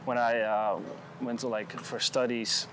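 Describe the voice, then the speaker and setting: A man with a Dutch accent speaks at a middling pitch, easygoing and unhurried. He often pauses to find the right English word. He is walking outdoors along a sunny street, with faint noise around him. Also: little pitch movement. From Netherlands. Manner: laid-back, unhurried, searching for words. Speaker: music producer, guest. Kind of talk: casual interview chat.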